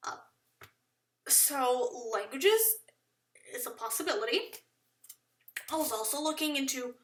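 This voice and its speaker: A woman's voice: high voice, measured pace, roomy sound, distant mic, a little hiss or hum. A young woman from Portugal rambling self-consciously to the camera in a casual vlog, laughing often as she thinks aloud. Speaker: vlogger.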